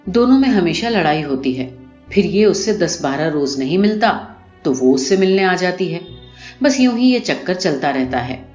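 A woman reading a short story aloud in an expressive, scripted narration style. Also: lowish voice. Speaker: audiobook narrator. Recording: muffled, roomy recording with music behind. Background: music.

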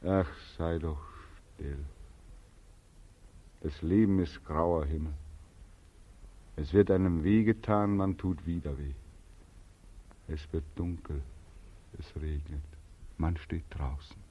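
An actor playing a bitter, exhausted, despairing young soldier home from war in a 1940s German radio drama, with a weary, brooding delivery. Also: male voice, rumbling low, slow, long pauses, breathy edge, full character voice. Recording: muffled 1940s radio recording, faint music.

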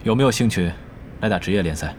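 A man acting a serious young esports team captain in an audio drama, speaking in a measured, earnest, formal way. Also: sits low, expressive, a little hiss or hum. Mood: polite, earnest.

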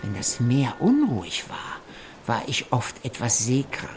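Guy narrating a radio play, measured n formal, journal style, music pretty loud underneath. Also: mid-range voice, wildly swooping pitch. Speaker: narrator reading an explorer's journal.

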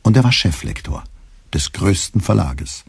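A man narrates a literary radio drama in a calm, measured way. His voice is very low and husky. The sound is close and dry. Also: no echo.

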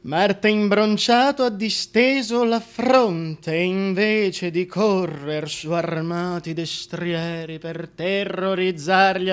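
An actor playing a bitter, scheming, deformed Shakespearean duke who pities himself, moving between declaimed verse and hushed confession in a radio drama. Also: male voice, sits high, heavily acted. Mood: brooding, sardonic. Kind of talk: theatrical radio drama monologue.

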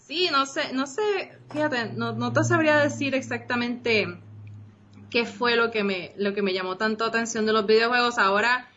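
Guest: bright-toned woman in her thirties, Puerto Rican Spanish with Costa Rican traces, rambling and chatty, slightly dull lo-fi sound. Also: fairly high pitch, normal speed. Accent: Puerto Rican Spanish with some Costa Rican traces. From Costa Rica. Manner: rambling, many restarts and fillers, mixes in English. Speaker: interview guest, game designer. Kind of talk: casual interview chat.

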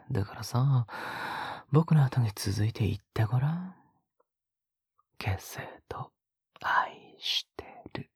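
A male actor voices an obsessive young male captor in an intimate audio drama. His voice is very breathy and husky, often sinking to a whisper. He speaks slowly, coaxing with a sweet, condescending menace, close to the mic in a dry space. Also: mid-range voice, no room sound, exaggerated voice. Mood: sweetly controlling. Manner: slow, coaxing, condescendingly gentle.

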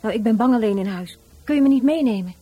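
A woman's voice: on the high side, lively intonation, fast talker, mellow, breathy edge, faint music behind. A woman playing a moody, blunt and insistent character in a Dutch radio play. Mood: pleading, insistent.

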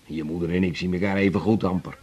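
An actor playing an older father in a radio drama, hesitant and apologetic, halting over his words. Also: low voice, steady tone, a little mellow, slightly rough, muffled recording. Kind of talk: 1980s radio drama acting.

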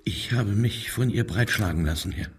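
An actor playing a cowed, self-pitying murder suspect in a radio drama, hesitant and servile, with a very husky voice. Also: male voice, fairly low pitch, normal speed, slight background noise, close to an everyday voice. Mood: self-justifying. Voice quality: very husky, raspy. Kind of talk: German radio play dialogue.

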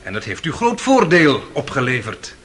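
A man's voice: medium pitch, huge pitch swings, normal speed, fairly bright tone. An actor playing a suave, sarcastic defence lawyer in a radio courtroom drama, theatrical and insinuating in his mock courtesy. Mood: mock flattery. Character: suave, sarcastic male defence lawyer cross-examining witnesses.

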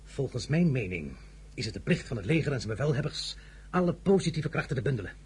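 An actor playing an ambitious, imperious young French general in an audio drama, with emphatic, rhetorical phrasing and a husky voice. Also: male voice, medium pitch, sing-song, fast talker, a little mellow. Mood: grave, insistent.

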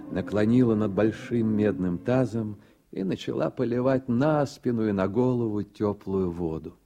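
A man narrates a 1980s radio drama in a low, very dark and somewhat husky voice. His delivery is literary storytelling, with faint music behind it.

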